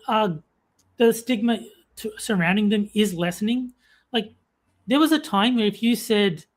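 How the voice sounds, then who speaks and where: A woman's voice: mid-range voice, darkish tone. An Australian woman co-hosting a casual talk stream, chatty and full of fillers, reading viewer comments aloud. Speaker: podcast co-host reading chat. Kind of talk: casual chat.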